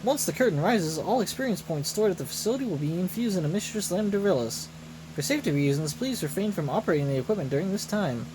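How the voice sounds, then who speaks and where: A man voicing a formal factory announcer in a video game, polite, measured and quietly menacing. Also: high-pitched, lively intonation, background noise. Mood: coolly official. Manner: measured, official.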